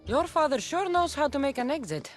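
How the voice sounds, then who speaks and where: A woman's voice: high voice, very animated, a little fast, faint music behind. A woman playing a confident, tough mercenary of ancient Greece in a dramatic video game. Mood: confident, resolute.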